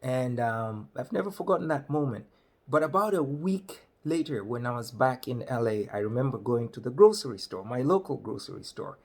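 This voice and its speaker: Middle-aged man from Malawi, husky voice, animated storyteller at a webcam. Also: mid-range voice, moderate pace. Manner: animated, gestures a lot while telling. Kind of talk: personal storytelling. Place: small indoor room.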